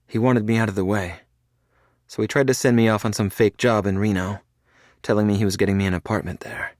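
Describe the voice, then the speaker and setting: A man's voice: fairly low pitch, steady tone, brisk, a little mellow, no room sound, studio quality, voice pushed up, lightly acted. A man voicing a frail, sick young woman who is tearful and pleading.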